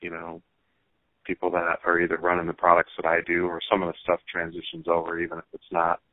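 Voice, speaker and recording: A man talking casually as a racer being interviewed over the phone, pausing often as he thinks through his answers. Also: low-pitched, monotone, dry recording. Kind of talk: casual phone interview about hobby racing. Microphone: telephone. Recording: tinny, band-limited phone line.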